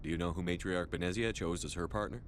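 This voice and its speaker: An actor playing a composed, reassuring starship commander in a video game, speaking in a direct, plain way. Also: male voice, low voice, quick pace, a little hiss or hum, lightly acted. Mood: calm inquiring. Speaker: ship's commander.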